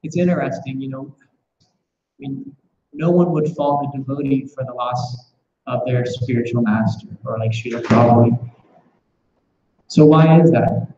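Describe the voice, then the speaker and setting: A man's voice: medium pitch, normal speed, warm tone, slight background noise. A man leading a scripture class with questions, speaking reflectively and often restarting with repeated words. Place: temple class room. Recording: echoey muffled room recording.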